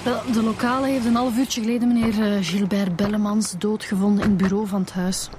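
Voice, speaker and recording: An actress with a Flemish accent playing a matter-of-fact police detective in a radio drama. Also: female voice, mid-range voice, fairly even intonation, a little fast, background noise, close to an everyday voice. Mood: businesslike.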